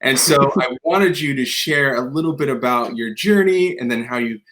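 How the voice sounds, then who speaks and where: A man's voice: mid-range voice, moderate pace, fairly bright tone, a little hiss or hum, rough recording. A man hosting a podcast interview, talking in a loose, rambling way with many restarts. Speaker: podcast host. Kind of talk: conversational interview.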